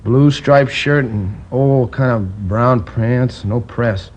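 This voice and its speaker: An actor playing a talkative, indignant liquor store manager recounting a robbery in a 1950s radio crime drama, rambling with hesitations. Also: male voice, medium pitch, fairly even intonation, takes it slowly, a little mellow, slight background noise, lightly acted. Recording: dull old radio transcription.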